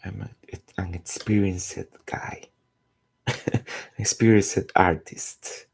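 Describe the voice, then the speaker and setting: A man in his forties from Costa Rica, a native Spanish speaker with a Costa Rican accent and a gravelly, husky voice, chatting casually and searching for words as he draws. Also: deep voice, level tone, very slow. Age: 40s. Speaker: comic artist streaming his drawing. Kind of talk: casual chat while drawing.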